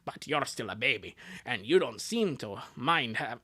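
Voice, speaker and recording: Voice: medium pitch, very animated, moderate pace, pushed and bright, slightly rough, voice pushed down, heavily acted. A performer voicing a caring, protective big-sister girl in an earnest cartoon fan dub. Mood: wistful.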